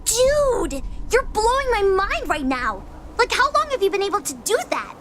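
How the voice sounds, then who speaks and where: Woman acting a bubbly teasing young goddess, high voice that bounces all over, slangy, audio drama. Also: very animated, normal speed, heavy background noise. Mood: amazed, excited.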